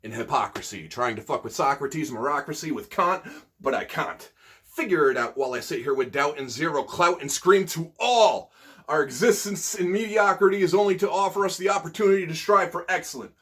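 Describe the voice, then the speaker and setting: A man with a husky voice, an amateur poet hosting his own videos, talking casually and reciting spoken-word poetry. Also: fairly high pitch, brisk, fairly bright tone.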